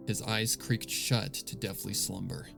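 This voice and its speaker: A man narrating horror fan fiction in a grave, deliberate, scripted dramatic style. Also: fairly low pitch, little pitch movement, creaky. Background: music.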